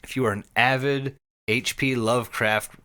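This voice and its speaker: A man co-hosting a podcast, talking casually and describing things in an animated way with casual swearing. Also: medium pitch, moderate pace, clear, resonant tone. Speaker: podcast co-host.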